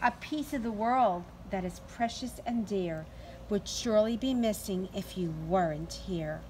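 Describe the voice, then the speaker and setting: A middle-aged woman doing an expressive, deliberate read-aloud storytime for young children. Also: mid-range voice, poor audio. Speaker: teacher reading to children. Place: outdoors in a sunny backyard. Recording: outdoor ambient noise.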